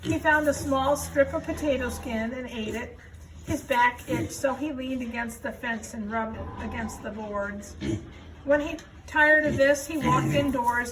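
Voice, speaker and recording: A woman reading a children's story aloud as narrator. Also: high-pitched. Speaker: storybook reader, school staff. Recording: noisy room, distant microphone.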